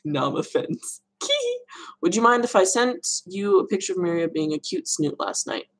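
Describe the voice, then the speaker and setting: A young woman with a notably deep voice and a gravelly edge of vocal fry. She chats loosely and rambles while answering viewer questions on a live stream from her room at home. She laughs often. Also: animated, moderate pace. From Arizona, USA. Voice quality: low voice. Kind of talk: casual live Q&A chat.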